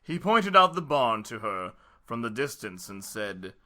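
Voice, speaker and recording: A man's voice: medium pitch, very animated, measured pace, pushed and bright. A man hosting a casual, parodic story reading, switching between chat and narration. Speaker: host, story reader.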